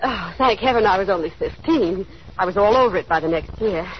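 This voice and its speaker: A woman's voice: medium pitch, lively intonation, a little fast, natural voice. An actress playing a chatty, anxious young small-town woman putting on airs in a 1930s radio drama, with a gushing manner and nervous little laughs. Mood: gushing, affected. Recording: dull, hissy 1930s radio recording.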